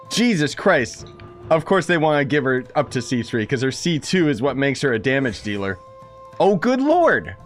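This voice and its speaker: A young man gives sarcastic, joking commentary on a video game he is playing. His pitch jumps about a lot when he exclaims. Faint game music plays behind him. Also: fairly bright tone. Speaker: gaming streamer. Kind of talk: sarcastic let's-play commentary.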